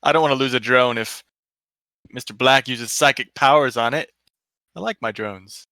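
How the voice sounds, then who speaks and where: A man's voice: medium pitch, normal speed, sharp tone, no echo. A man playing in a tabletop game who lays out plans in a matter-of-fact way. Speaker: player. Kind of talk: casual chat at a game table.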